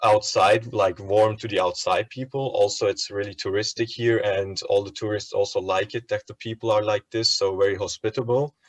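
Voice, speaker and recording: A man, answering interview questions in a relaxed way and pausing to search for words. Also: on the low side, no echo. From Turkey. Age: 20s. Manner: easygoing, searches for words with ums and likes. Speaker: student interviewee.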